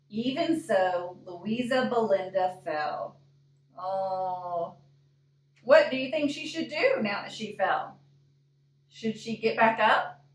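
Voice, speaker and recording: Lady doing kids storytime, really slow and expressive, asks questions, echoey room. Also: mid-range voice, slight background noise. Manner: deliberate, asks the young listeners questions. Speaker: librarian reading a storybook to children. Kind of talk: expressive children's storytime read-aloud. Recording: echoey room, distant microphone.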